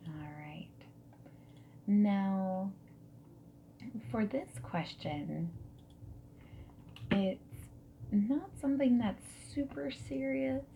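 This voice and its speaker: A woman playing a friendly, non-judgmental dating service matchmaker in a gentle, soft-spoken and whispered ASMR roleplay. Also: mid-range voice, vocal fry, highs cut off, slight background noise. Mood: friendly, curious. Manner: gentle, reassuring, asks questions and pauses for answers. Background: mechanical keyboard typing and clicks.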